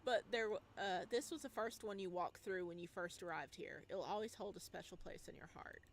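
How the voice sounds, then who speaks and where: A woman doing playful, expressive gameplay commentary, slipping between reading text aloud and exclaiming in singsong asides. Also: mid-range voice, a little fast, a little hiss or hum. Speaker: game streamer.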